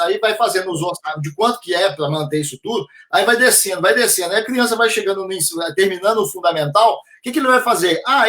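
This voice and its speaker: A man talks in a fairly high voice during a casual live discussion. His manner is reflective, and he draws the other speaker's points together. He comes through on a video call. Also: sharp tone. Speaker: co-host.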